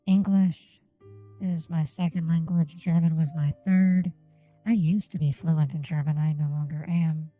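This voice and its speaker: Japanese-native woman streamer, deep and dark, slow deliberate delivery, muffled lo-fi mic sound. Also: fairly even intonation, faint music behind. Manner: deliberate, careful enunciation. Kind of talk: casual gameplay stream chat. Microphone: desk microphone on an arm.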